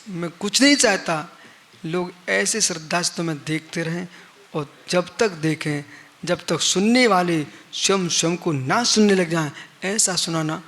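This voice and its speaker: A man's voice: high voice, a bit bright. A middle-aged man, a Jain monk, giving a conversational sermon to an audience, asking them questions and acting out the people in his stories. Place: live assembly hall.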